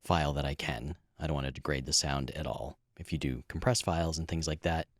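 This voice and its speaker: A man with a low voice chats casually as a podcast co-host. He explains things in an informal way, recorded dry and close in a blanket-padded room at home. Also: steady tone, fairly quick, no echo.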